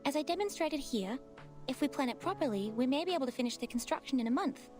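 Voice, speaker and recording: A brisk, reassuring game character talks quickly in a high voice. She sounds slightly distant, with clear game music behind her. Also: expressive. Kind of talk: game voice acting.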